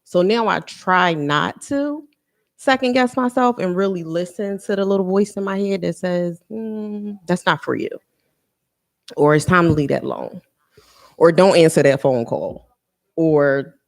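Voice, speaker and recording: A woman in her thirties speaks as an interview guest, in a voice that sits a little low. Her talk is candid and reflective, and she often restarts or repeats a phrase as she works through a thought. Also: unhurried, gravelly. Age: 30s. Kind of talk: candid personal conversation.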